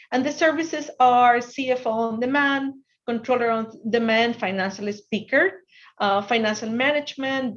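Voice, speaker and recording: An earnest woman with a Spanish accent leads a business workshop over a video call, her voice sitting a bit high. She often restarts her sentences as she explains. The sound is dull and lo-fi. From Ecuador. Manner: earnest, restarts sentences, asks if the audience can hear. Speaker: guest speaker on finance. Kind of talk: online workshop presentation.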